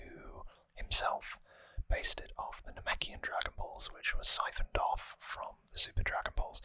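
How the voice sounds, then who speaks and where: A person whispering softly and breathily as an ASMR host, giving an unhurried, rambling recap with gently wry asides, close to the microphone. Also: dry recording.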